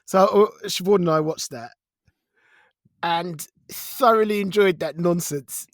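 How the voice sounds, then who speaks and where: A man co-hosting a podcast in casual chat, colloquial and animated when he tells things. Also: sits high, a little slow, dry recording, studio quality. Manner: colloquial, animated retelling with quoted lines. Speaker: podcast co-host.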